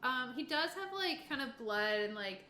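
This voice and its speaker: A woman narrating a tabletop game as game master in a casual, halting, improvised way. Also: high-pitched, animated, sharp tone. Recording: echoey room, clear background noise.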